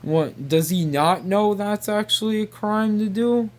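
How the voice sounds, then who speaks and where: A man rambling casually in a halting, repetitive, incredulous way. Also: high-pitched. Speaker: video creator.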